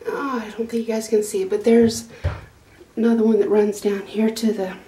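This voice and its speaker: A woman's voice: on the high side, flat delivery, normal speed, warm tone, low-quality recording. A middle-aged woman, giving a rambling, homespun tour of her small wooden goat barn with lots of fillers and restarts. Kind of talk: casual walkthrough narration. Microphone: handheld phone camera.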